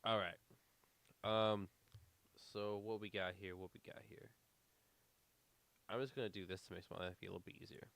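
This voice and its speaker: A man's voice: lowish voice, level tone, normal speed, vocal fry, pauses often, fairly bright tone, no echo. A man co-hosting a casual podcast, rambling with lots of fillers, a little congested. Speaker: podcast co-host. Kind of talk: casual chat.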